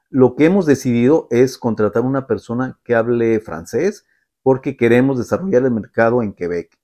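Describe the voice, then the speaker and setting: Halting middle-aged man. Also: mid-range voice, darkish tone. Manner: reflective, halting, with many filler sounds. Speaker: vlogger. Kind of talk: personal storytelling to camera.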